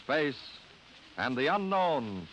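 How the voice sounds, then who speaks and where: A man's voice: medium pitch, very animated, slow pace, fairly bright tone, dull audio. A man announcing formally in the style of 1950s radio. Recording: noisy 1950s radio recording.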